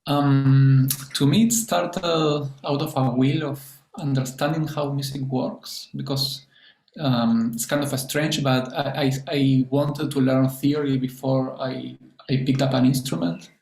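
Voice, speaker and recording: A guitarist and composer from Argentina speaking hesitantly and thoughtfully on a video call. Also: male voice, medium pitch, steady tone, a little slow, darkish tone. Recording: echoey room on a call.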